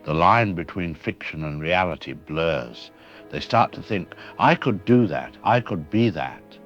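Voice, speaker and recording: A man playing a professor interviewed as an expert in a radio drama, speaking in a measured, analytical way. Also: sits low, expressive, a bit bright. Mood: calm, grave. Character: grave male professor explaining a killer's mind. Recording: dull lo-fi radio sound with faint music.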